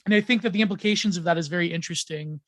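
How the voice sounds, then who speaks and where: High-voiced man rambling through ideas. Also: racing, fairly bright tone, no echo. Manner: rambling, many ums and restarts, laughs often. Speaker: podcast guest. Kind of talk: casual podcast chat.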